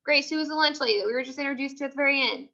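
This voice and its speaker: A woman teaching a class, asking questions and repeating questions and answers slowly for her pupils. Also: sits high, vocal fry, pushed and bright, lo-fi sound. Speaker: teacher leading a class read-aloud.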